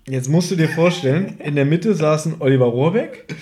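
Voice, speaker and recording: A man hosts a fan podcast from home with a slightly high voice. His delivery is casual and humorous, like relaxed talk with a friend over a shared microphone. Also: normal speed. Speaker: podcast host and audio drama fan. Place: living room at home. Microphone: one microphone shared with co-host.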